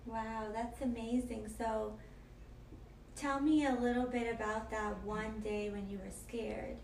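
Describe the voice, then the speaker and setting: Slow, gravelly-voiced young woman host. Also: a little high, steady tone, darkish tone, far from the mic, slight background noise. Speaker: podcast host. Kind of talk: interview hosting. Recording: echoey room, off-mic.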